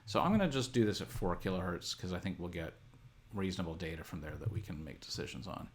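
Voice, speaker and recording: Low, creaky-voiced man teaching. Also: expressive. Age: middle-aged. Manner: halting, methodical, talking through each step. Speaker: instructor. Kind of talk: unscripted screen-share tutorial, thinking aloud. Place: indoor room at a desk, computer screen recording.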